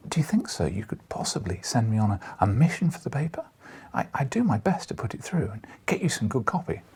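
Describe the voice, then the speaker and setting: An eager young newspaper reporter voiced by a male reader speaks with deference and earnest hesitation. The voice is very husky and breathy with lively pitch, like a nervous adventurer in a classic storybook. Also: fairly quick, whispered, exaggerated voice.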